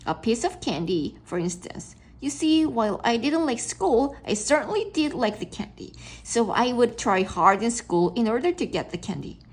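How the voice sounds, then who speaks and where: A woman's voice: fairly high pitch, very animated, normal speed, hardly any pauses, breathy edge, exaggerated voice. A woman voicing an easygoing, kindly male school principal in a children's storybook, patient and chatty as she explains. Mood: persuasive, friendly.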